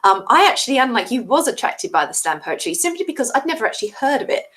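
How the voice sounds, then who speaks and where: A woman co-hosting a casual livestream book discussion in an agreeable, measured manner. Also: on the high side, big pitch swings, a bit bright. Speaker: co-host of book read-along.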